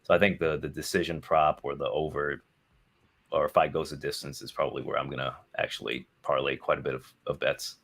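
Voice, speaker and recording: A man's voice: very deep voice, level tone, brisk, creaky, fairly bright tone. A man talking as a podcast guest, rambling with lots of fillers and casual swearing. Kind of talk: casual chat.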